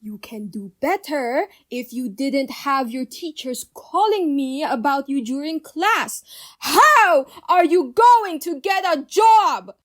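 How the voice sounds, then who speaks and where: A woman playing a strict, practical, disapproving mother in scripted animated drama dialogue. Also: high voice, slow pace. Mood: angry, scolding. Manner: stern, admonishing.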